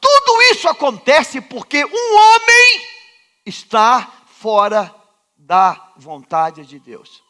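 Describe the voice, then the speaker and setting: A Brazilian man with a Rio de Janeiro accent, preaching with a high, very animated voice that climbs and drops. His tone is bright and forceful. He presses his listeners with rhetorical questions and repeated refrains in a large church sanctuary. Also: takes it slowly. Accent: Rio de Janeiro Brazilian Portuguese. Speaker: pastor. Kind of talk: sermon.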